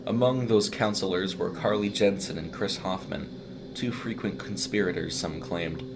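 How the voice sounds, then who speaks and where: A man's voice: low-pitched, moderate pace, noisy background. A man reading a scripted short story as a dramatic narrator.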